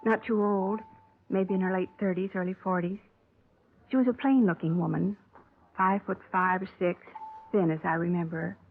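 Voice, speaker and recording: An actress doing a careful shop clerk witness. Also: female voice, mid-range voice, steady tone, moderate pace, slight background noise, natural voice. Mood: recalling. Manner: hesitant, recalling details carefully. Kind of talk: 1950s radio drama acting. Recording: tinny old radio broadcast.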